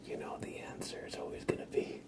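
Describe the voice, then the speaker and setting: A man doing casual ASMR chat as a live streamer, murmuring and whispering softly and gently, with trailing pauses. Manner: soft, breathy whispering and low murmuring.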